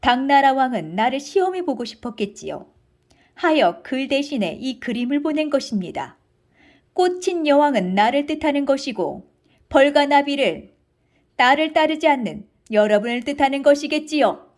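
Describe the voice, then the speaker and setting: A woman voicing a wise, composed and firm queen in a children's storybook, speaking in a measured, dignified, formal manner. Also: high voice, lively intonation. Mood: calm, knowing.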